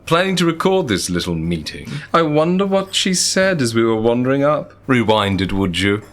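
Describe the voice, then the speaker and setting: A man's voice: medium pitch, big pitch swings, normal speed, slight background noise, close to an everyday voice. An actor playing a mischievous, smug twin in an audio drama, silky, teasing and mock-formal as he toys with strangers. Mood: teasing, sly.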